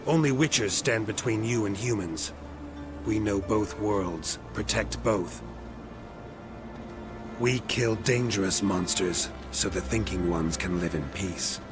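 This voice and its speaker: Stoic weathered hunter, deep, clipped, deadpan, video game, heavy music behind. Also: male voice, slow. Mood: calm, firm. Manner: terse, deadpan. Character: stoic, weathered male monster hunter, level-headed. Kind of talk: video game cutscene acting. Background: loud music.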